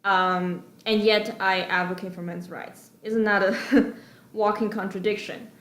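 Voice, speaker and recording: A young adult woman from China, Chinese as her first language, talking through her views in a measured, explanatory way with frequent pauses and ums. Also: mid-range voice, steady tone, fairly bright tone, roomy sound. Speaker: video responder. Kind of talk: monologue.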